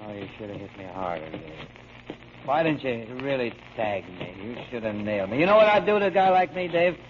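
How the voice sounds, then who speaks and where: An actor playing a feckless, remorseful grown son and brother who blames himself and promises big, in 1940s radio drama style. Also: male voice, mid-range voice, very animated, brisk, natural voice. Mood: drunken self-reproach. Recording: muffled, hissy old radio broadcast.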